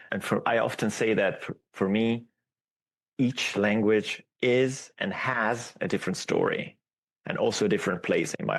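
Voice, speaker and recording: Low-voiced Italian-native male guest. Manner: explains at length, steady and reflective. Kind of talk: casual podcast interview.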